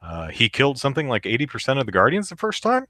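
A man with a deep voice hosting a live podcast, reading the chat aloud and rambling casually with many fillers and restarts. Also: huge pitch swings, fast, bright, forceful tone, dry recording, clean studio sound.

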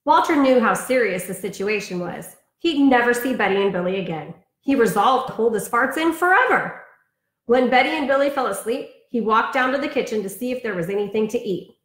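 A woman's voice: on the high side, a bit bright. A woman reading aloud for an elementary storytime, telling it expressively with chatty asides. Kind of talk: read-aloud storytime for children. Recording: roomy, echoing sound.

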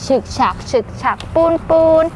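A woman voicing an excited little elephant child with singsong, repetitive chanting in a children's puppet story. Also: moderate pace, background noise, close to an everyday voice. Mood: gleeful. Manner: singsong repeated train chanting.

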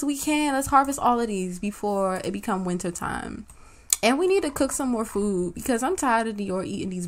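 A woman hosting a life-sim let's-play, chatting casually and talking straight to her viewers in slangy banter, her voice a little worn as if she is losing it. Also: mid-range voice. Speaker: gaming channel host.

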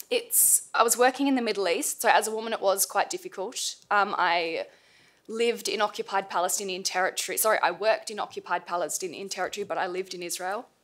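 A woman's voice: medium pitch, normal speed, a bit bright. Young woman with a tired, fading voice. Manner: hesitant, many fillers and restarts. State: losing her voice. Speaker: panel speaker, former intern. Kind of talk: informal panel talk. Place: seminar room, panel table. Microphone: table mic.

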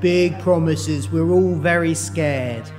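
A man's voice: on the high side, slow pace, over music. A man playing a jokey, taunting ghost in an audio drama, theatrical and wry.

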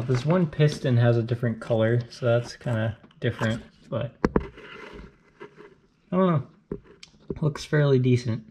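A man's voice: mid-range voice, slight background noise. Man muttering to himself in a garage. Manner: relaxed, thinking aloud with fillers and trailing doubts. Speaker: car channel host. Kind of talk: casual garage commentary while working. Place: home garage, indoors. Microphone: action camera on body and tripod.

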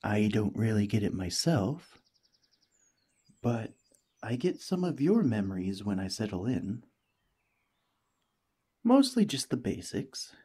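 A man voicing a cheerful, friendly alien parasite in a gentle, chatty ASMR roleplay, eager and reassuring. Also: on the low side, very animated, slow pace, pauses often, slightly rough, exaggerated voice. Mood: friendly, earnest. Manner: gentle, chatty, playfully earnest.

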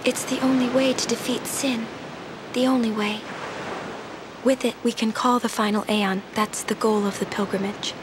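A woman playing a gentle, dutiful, wistful young summoner in a video game cutscene, speaking softly, hesitantly and earnestly. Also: normal speed, close to an everyday voice. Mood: solemn. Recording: game audio over steady surf-like rumble.

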